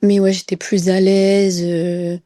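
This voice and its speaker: A woman's voice: medium pitch, level tone, slow pace, mellow, no echo, studio quality. A young woman tells her story casually as a podcast guest, hesitantly and repeating words for emphasis. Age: young adult.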